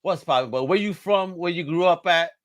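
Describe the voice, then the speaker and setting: A man's voice: a little high, a little fast, fairly bright tone. A man hosting a podcast interview in a hyped, jokey, slangy way, calling his guest brother. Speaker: podcast host.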